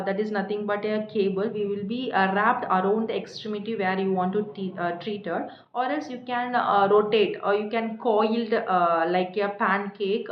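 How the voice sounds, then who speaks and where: A woman lecturer with an Indian accent gives an explanatory technical lecture in a halting, hesitant way, repeating and rephrasing as she goes. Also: mid-range voice. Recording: echoey room, dull muffled sound.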